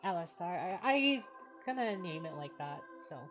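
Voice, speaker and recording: A man's voice: high-pitched, very animated, moderate pace. A man streaming a game, casually commenting and reading dialogue aloud, with game music behind him.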